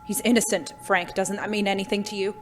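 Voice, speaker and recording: An actor playing a tense, protective gentleman on the run in an audio drama, speaking in an urgent, articulate way over music. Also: mid-range voice, level tone, fairly quick, natural voice. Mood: urgent, indignant. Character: tense, protective gentleman fugitive holding a gun.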